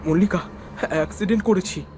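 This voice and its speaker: Man acting higher-voiced earnest young man, cartoon story. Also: a little fast, warm tone, a little hiss or hum, close to an everyday voice. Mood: alarmed, insistent. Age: young adult. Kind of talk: Bengali animated horror story, narration and dialogue.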